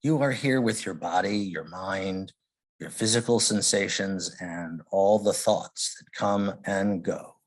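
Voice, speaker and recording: A man leading a guided meditation, speaking gently in short phrases with long pauses. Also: deep voice. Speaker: meditation leader.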